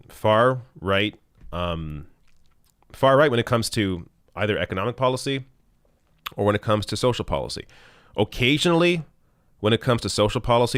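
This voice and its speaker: A man's voice: fairly low pitch, clear, resonant tone, no room sound, studio quality. A man hosting a live stream and reading out viewer questions, thinking aloud with ums and restarts as his voice gives out. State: losing his voice, clearing his throat. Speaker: live stream host answering super chats.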